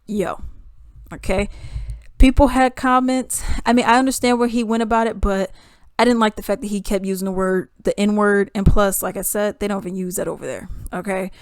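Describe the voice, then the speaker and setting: A woman talking casually about a fight, animated, jumping between loud emphasis and hushed asides. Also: mid-range voice, brisk, smooth, no room sound, studio quality. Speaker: YouTube commentator. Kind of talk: casual commentary reading news and comments.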